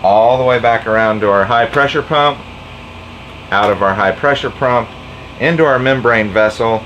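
A man's voice: mid-range voice, normal speed, a bit bright, lo-fi sound, a little hiss or hum. A man in his forties casually walking through a homemade project in his cluttered workshop, explaining step by step, picked up by a camera mic a few feet away. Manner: conversational, explaining step by step with fillers. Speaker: boat owner and DIY tinkerer. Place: cluttered home workshop, indoors.

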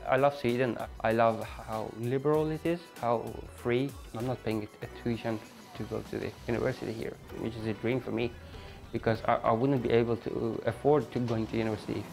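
A man in his twenties speaking softly and reflectively in a sit-down interview at home on a lapel mic. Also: mid-range voice, fairly even intonation, a little fast, gravelly, over music. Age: 20s. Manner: soft-spoken, reflective, with fillers. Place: apartment living room indoors.

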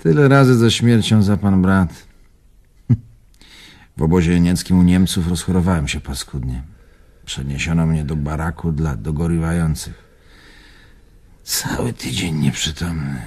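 An actor playing an old Warsaw tavern owner and spirited war survivor in a radio drama, earthy and raspy, reminiscing in clipped phrases. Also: male voice, sits low, unhurried, long pauses, darkish tone, heavily acted. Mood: gruff, reminiscing. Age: older. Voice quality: gravelly, raspy.

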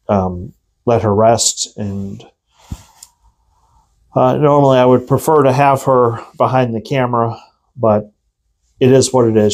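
A middle-aged man in a small indoor room, speaking straight to a fixed camera in a loose, rambling way. His voice sits at an ordinary pitch, and he fills his pauses with lots of ums and uhs. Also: darkish tone. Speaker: vlogger, channel host. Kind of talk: casual talk to camera.

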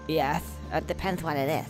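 An actor voicing a sarcastic, excitable male squirrel soldier in a video game. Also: on the high side.

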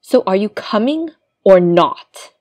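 A woman's voice: medium pitch, expressive, slow, darkish tone. A woman acting a scheming, vindictive young villainess in an audio drama, smug and commanding. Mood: commanding, menacing. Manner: commanding, coolly menacing.

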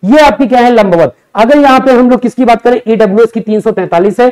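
High-pitched young male coaching teacher. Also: fast talker, a little mellow. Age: young adult. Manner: emphatic, conversational, asks and answers his own questions. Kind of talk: explanatory lecture to students. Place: indoor teaching studio in front of a digital board.

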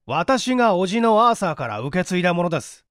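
A man's voice: high voice, big pitch swings, brisk, fairly bright tone, smooth, no room sound, clean studio sound. A man voicing an indignant, haughty young man in a storybook reading, stiffly polite and challenging. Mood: stern, demanding.

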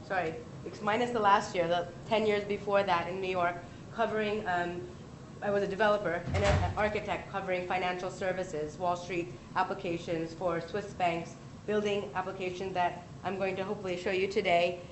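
An American woman in her thirties leading a live tech tutorial, chatty with quick asides and self-corrections. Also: on the low side, flat delivery. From United States. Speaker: tech workshop presenter. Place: large conference room. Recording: echoey conference room with background noise.

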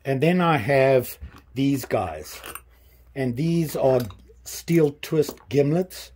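A man in his fifties casually showing his tools in a small workshop, talking in a relaxed, rambling way with many pauses and restarts. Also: mid-range voice, breathy edge. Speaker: hobbyist channel host. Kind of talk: casual show-and-tell vlog. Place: small workshop with pegboard tool wall, indoors.

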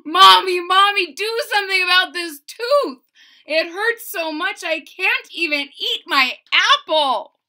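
A little storybook boy played by a woman wails about his sore tooth. The voice is high, piercing and swooping, with loud, dramatic, whiny shouts. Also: animated, measured pace, heavily acted. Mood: pained wailing. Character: small boy wailing over his painful loose tooth. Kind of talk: children's storybook character voice.

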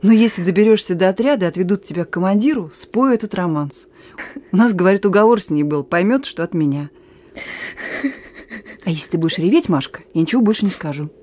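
A woman's voice: mid-range voice, animated, close to an everyday voice. An actress in a radio play voicing a bold, teasing young woman who is a wartime partisan messenger. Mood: frank, teasing. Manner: playful, teasing, brisk, sometimes singing softly.

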